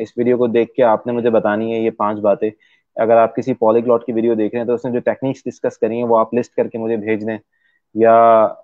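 A man's voice: mid-range voice, mellow, no echo. Man on a tinny line. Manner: explains patiently, with frequent "uh" pauses and fillers. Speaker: English teacher, interview guest. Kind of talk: casual explanatory interview talk. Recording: dry, tinny phone-like line.

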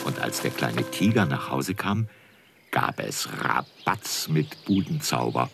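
Male narrator for children's audio tales, low voice, expressive melody, music underneath. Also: takes it slowly, vocal fry. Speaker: storyteller. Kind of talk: scripted children's story narration.

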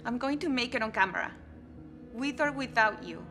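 A woman's voice: fairly high pitch, lively intonation, moderate pace, vocal fry, fairly bright tone, faint music behind. A woman playing a young news anchor. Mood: pleading. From Colombia. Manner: pleading, earnest. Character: struggling young female news anchor, earnest. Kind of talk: scripted drama acting.

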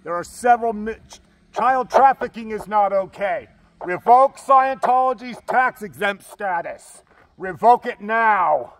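A man chanting protest slogans in a loud, declamatory, repetitive way through a bullhorn outdoors. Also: high-pitched, measured pace, no echo. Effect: bullhorn amplification. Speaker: activist protester. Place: outdoors at a forest roadside.

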